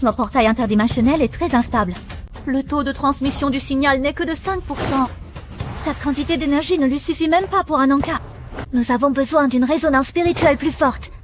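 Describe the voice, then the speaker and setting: Earnest, worried animated heroine's ally played by a woman, very high pitch, urgent delivery. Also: fairly quick, noisy background, close to an everyday voice. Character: earnest, anxious female ally running an unstable portal. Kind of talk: dramatic animated series dubbing. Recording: loud music behind.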